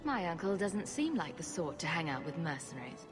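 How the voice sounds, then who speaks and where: A woman's voice: on the low side, very animated, fairly quick, over music. An actress voicing a witty, sarcastic young woman rogue in a video game cutscene. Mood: cool, probing. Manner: wry, sarcastic.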